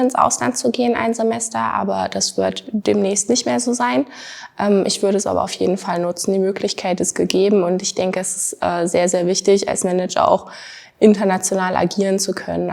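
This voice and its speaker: A woman in her twenties from Thuringia, a master's student giving earnest interview answers, often pausing on fillers and repeating words for stress. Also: medium pitch, creaky, a little mellow. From Thuringia, Germany. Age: 20s.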